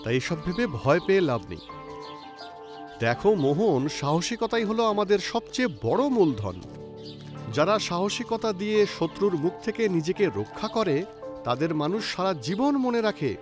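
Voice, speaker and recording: A man plays a bold young man in a children's cartoon tale. He speaks in a fairly high, very animated voice, stressing his points like a lecture. Music plays clearly behind him. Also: normal speed. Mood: confident, lecturing. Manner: preachy, emphatic delivery. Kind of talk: animated children's story acting.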